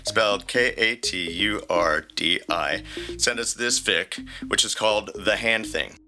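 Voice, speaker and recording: A man's voice: fairly low pitch, measured pace. A man co-hosting a podcast with playful, partly scripted banter. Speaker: podcast co-host. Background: music.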